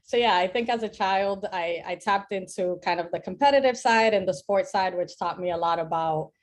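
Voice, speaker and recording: A woman from the Dominican Republic, a software engineering director, telling students about her childhood in a calm, measured way. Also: mid-range voice. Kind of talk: casual class chat with students.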